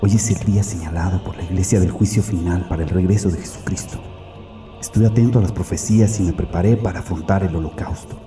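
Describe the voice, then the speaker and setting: Man acting a deep-voiced, brooding fanatical murderer. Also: fairly even intonation, quick pace, mellow, natural voice. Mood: brooding, penitent. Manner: brooding, solemn, confessional. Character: tormented fanatical male murderer hiding in a bunker. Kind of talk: dramatic first-person audio story monologue. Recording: echoey, distant, music behind.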